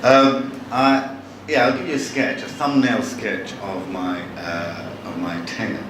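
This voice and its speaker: Man, novelist, halting and wry; live talk, distant mic, heavy hall echo. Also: fairly low pitch, fairly even intonation. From born in Bangladesh, raised in London. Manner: wry, chatty, halting with frequent restarts. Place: large hall with audience. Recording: echoey hall from far off, noisy.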